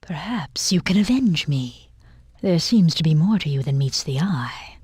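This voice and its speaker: A woman playing a defeated, vengeful ice queen in a video game, speaking in a breathy, whispery voice. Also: sits low, expressive, measured pace, warm tone, no room sound, clean studio sound, exaggerated voice. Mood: conspiratorial. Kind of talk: video game cutscene acting.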